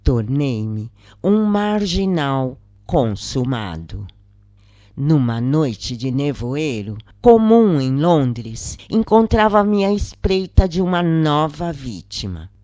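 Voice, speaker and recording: A Brazilian narrator reading a scripted first-person spiritual account in a measured, solemn way, in short phrases. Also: medium pitch, sing-song, warm tone, dry recording, studio quality.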